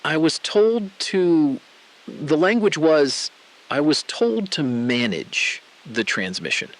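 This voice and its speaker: A man playing an anxious, guilt-ridden ground controller in an audio drama, halting and pleading, often trailing off mid-sentence. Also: a little high, very animated, low-quality recording. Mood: guilty, pleading. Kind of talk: scripted audio drama, dramatic phone-call acting.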